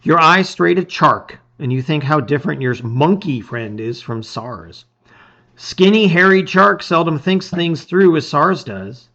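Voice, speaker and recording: Animated man dramatically reading a storybook. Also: on the high side, a little slow, lo-fi sound. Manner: theatrical, emphatic phrasing. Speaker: host reading a gamebook aloud.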